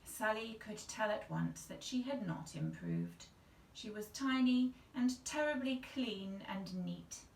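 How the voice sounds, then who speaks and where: A woman's voice: medium pitch, sing-song, takes it slowly, gravelly, few pauses, slight background noise. A woman in her thirties reading a children's story aloud as a storyteller. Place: small tiled-looking bathroom indoors. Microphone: camera a couple of metres away. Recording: echoey small room, distant mic.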